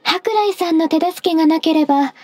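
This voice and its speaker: Very high-voiced, gentle, formal secretary. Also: female voice. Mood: calm, probing. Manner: soft, formal, measured politeness. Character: gentle, composed half-beast female secretary, politely firm. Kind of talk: Japanese anime-style game voice acting.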